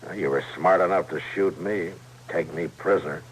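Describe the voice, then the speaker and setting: An actor playing a calm, wily frontier marshal with an American accent and a measured, dry, persuasive delivery in a radio drama. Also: male voice, low voice, husky. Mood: coaxing. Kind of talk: old-time radio Western acting. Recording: slightly noisy vintage radio recording.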